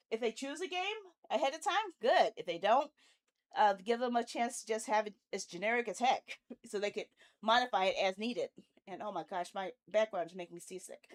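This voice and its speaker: Female game streamer, higher-pitched, informal and playful, animated chatter with muttered asides. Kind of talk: casual live stream chat.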